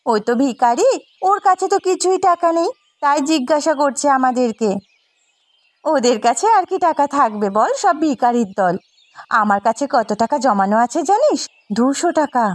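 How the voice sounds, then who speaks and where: High-pitched, boastful village housewife played by a woman. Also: animated, moderate pace, dry recording. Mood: boastful, taunting. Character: competitive, boastful young village housewife in a folk-tale cartoon. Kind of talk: cartoon voice acting.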